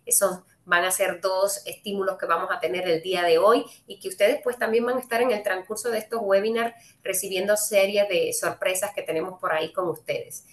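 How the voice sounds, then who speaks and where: A woman's voice: mid-range voice, steady tone, fairly quick, hardly any pauses, reverberant. A woman from Cuba with a Cuban Spanish accent, hosting a live trading webinar, talking to viewers in a friendly way with many "eh" fillers. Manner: friendly, addresses viewers directly, frequent "eh" fillers. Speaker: webinar host and trading speaker.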